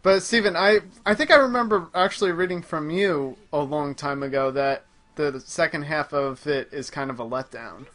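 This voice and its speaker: A man in a relaxed, informal conversation about manga, speaking as a podcast co-host. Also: on the high side, moderate pace. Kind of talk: casual chat about manga.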